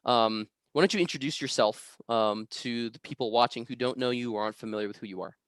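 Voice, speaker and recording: A man from the United States hosting an informal online interview as the interviewer, speaking in a halting, hesitant way. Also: mid-range voice, fairly bright tone, clean tone, no echo, clean studio sound.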